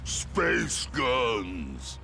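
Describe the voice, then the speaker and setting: A hulking mutant brute from a video game. He growls broken simple sentences extremely slowly, with heavy gravel in the throat. The pitch is low and swings widely. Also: huge pitch swings, shouting, over music, heavily acted. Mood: grunting, blunt. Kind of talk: video game cutscene acting.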